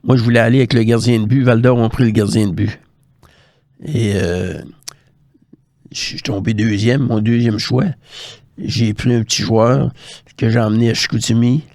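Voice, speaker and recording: A man's voice: medium pitch, fairly even intonation, moderate pace, pauses often, a little mellow, no room sound, clean studio sound. An older man with a Quebec French accent telling career anecdotes casually as an interview guest, colloquially re-enacting conversations with quoted dialogue. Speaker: guest, former hockey scout.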